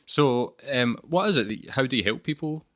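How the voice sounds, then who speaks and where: A man's voice: mid-range voice, animated, moderate pace, fairly bright tone, dry recording, studio quality. A man hosting a podcast interview, asking questions in a hesitant, casual way with many "um"s. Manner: hesitant, frequent "um" and "sort of". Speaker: podcast host.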